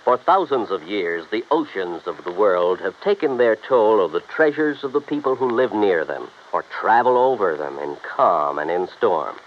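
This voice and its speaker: Casting: male mid-century radio announcer, formal and earnest, lively inflection, muffled old recording. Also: lowish voice, moderate pace, few pauses. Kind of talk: scripted narration, 1950s radio announcing. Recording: dull, faintly noisy vintage radio recording.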